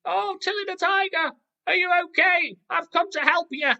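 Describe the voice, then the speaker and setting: A man voicing a caring female ladybird in a children's story, in a concerned, helpful falsetto. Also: normal speed, creaky, sharp tone, highs cut off, full character voice. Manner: high falsetto, concerned and eager to help.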